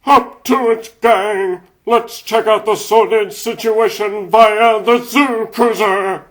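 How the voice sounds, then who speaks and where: A man's voice: high voice, fairly even intonation, slow, a bit bright, faint music behind, pitched above the performer's own voice. A man voicing a bold rabbit superhero leader with a hearty, commanding manner, in a comic dub. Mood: rallying.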